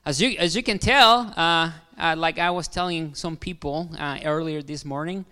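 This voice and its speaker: A man's voice: fairly high pitch, moderate pace, bright, forceful tone, clear, resonant tone. A man from Mexico with a Mexican Spanish accent, Spanish his first language, preaching a sermon as guest pastor in a friendly way, with many fillers and restarts. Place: large hall. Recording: long hall reverb.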